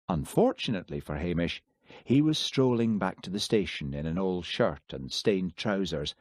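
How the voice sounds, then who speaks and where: A man's voice: low voice, darkish tone, no echo, clean studio sound. A man narrating a novel as a scripted audiobook reader.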